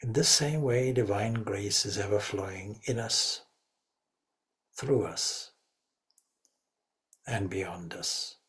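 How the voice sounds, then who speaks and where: A man leading a guided meditation as a spiritual teacher, speaking reverently in short, measured phrases. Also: on the low side, fairly bright tone.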